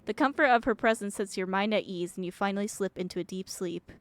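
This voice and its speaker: A woman streamer reading a game aloud and reacting to it in an expressive, emotional way. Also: medium pitch, quick pace, no room sound.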